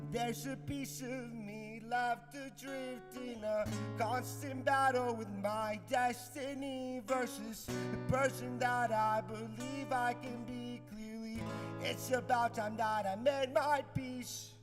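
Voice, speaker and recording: A man's voice: steady tone, slow pace. A young Ecuadorian man singing a pop punk song live in a radio studio, holding his lines long. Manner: sings high with sustained held lines. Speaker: musician performing live. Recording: loud acoustic guitar under the voice.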